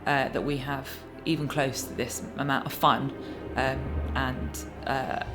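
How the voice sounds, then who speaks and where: Female interviewee, very deep voice, reflective and halting, music underneath. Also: flat delivery. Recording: music playing behind the voice. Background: background music.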